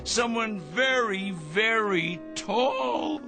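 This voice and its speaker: Paranoid pompous crank in a radio play, excitable ranting, slow drawn out delivery, voice swings all over. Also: male voice, high voice, music in the background, heavily acted. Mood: bewildered, terrified. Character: pompous, paranoid megalomaniac man, feverishly excitable, talking to his parrot. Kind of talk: radio drama acting.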